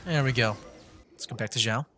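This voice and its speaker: A man streaming games with relaxed, informal, casual commentary. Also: medium pitch, fairly quick. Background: game music.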